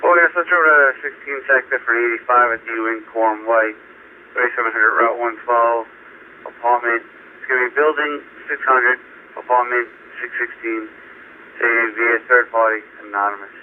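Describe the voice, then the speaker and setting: A man's voice: mid-range voice, fairly even intonation, moderate pace. A man working as an emergency dispatcher, giving terse, procedural calls over a two-way radio. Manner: clipped, procedural, reciting numbers and codes. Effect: two-way radio, heard over scanner. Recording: tinny narrowband police scanner radio, static noise.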